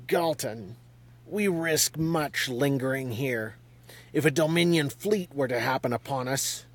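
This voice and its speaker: A man's voice: mid-range voice, measured pace. A man doing an icy, curt envoy. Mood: impatient. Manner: clipped and icy. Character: cold, curt male envoy, impatient and contemptuous.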